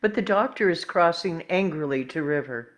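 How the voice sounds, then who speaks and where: A woman's voice: on the low side, lively intonation, normal speed. A woman narrating screen directions from a script in the present tense at a table read. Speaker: stage directions reader.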